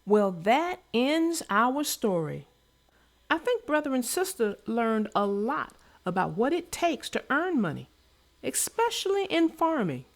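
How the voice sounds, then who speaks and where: A woman's voice: a little high, sing-song, measured pace. A woman co-hosting a casual chat about a children's story, emphatic and given to offering advice. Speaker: read-aloud show co-host.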